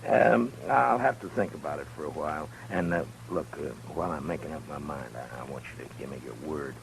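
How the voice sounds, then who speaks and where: A man playing a calm frontier marshal in a 1950s radio western, unhurried and often chuckling in a dry way. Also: rumbling low, vocal fry, slight background noise, rough recording. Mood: amused.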